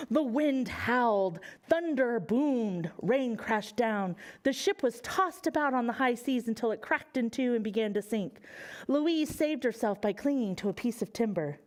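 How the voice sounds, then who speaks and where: A woman's voice: fairly high pitch, a little mellow. An American woman reading a picture book aloud to young children, expressively and with questions for them along the way. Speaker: children's library storyteller.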